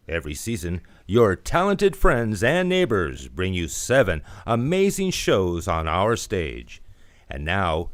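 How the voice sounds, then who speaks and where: Very animated male promo announcer. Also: mid-range voice, dry recording, studio quality. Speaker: announcer for a community theater promo.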